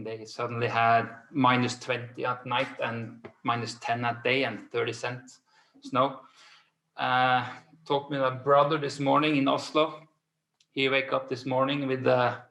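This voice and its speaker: An echoey video-call recording of a man with a Scandinavian accent. His voice is gravelly with plenty of fry. He hosts informally, feeling his way haltingly from phrase to phrase. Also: medium pitch, fairly bright tone. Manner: halting, hesitant delivery with repeated phrases. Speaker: host and business manager. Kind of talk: informal webinar introduction.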